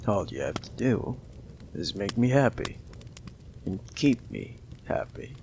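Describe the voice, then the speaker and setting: A man playing a gravelly, whispering mob boss. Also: lowish voice, a little hiss or hum, exaggerated voice. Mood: coaxing. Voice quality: gravelly, often dropping to a whisper. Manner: slow, taunting, coldly affectionate. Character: possessive menacing male mob boss, young adult. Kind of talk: ASMR audio roleplay.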